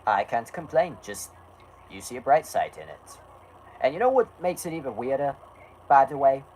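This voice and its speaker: A man's voice: medium pitch, big pitch swings, moderate pace, a little mellow, slight background noise, poor audio. A man from the United States with a sore throat, chatting casually and theatrically. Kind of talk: casual film review chat.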